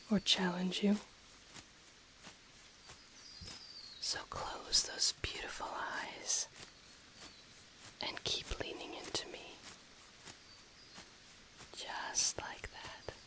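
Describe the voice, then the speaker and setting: A woman voicing an ancient dragon familiar, possessive, teasing and tender, speaking softly and formally in an intimate ASMR roleplay. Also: medium pitch. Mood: coaxing, reassuring. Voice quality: soft, breathy, often whispering. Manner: slow, formal, teasing, purring delivery.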